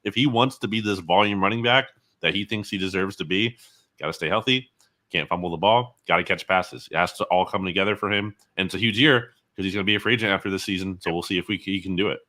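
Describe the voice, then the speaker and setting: A man's voice: sits low, quick pace, sharp tone. A man giving analysis as a guest on a sports interview show.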